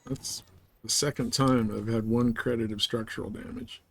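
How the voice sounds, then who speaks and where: A man's voice: medium pitch, steady tone, normal speed, a little hiss or hum. A man giving casual live commentary on a game, chatting and thinking aloud. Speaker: game streamer.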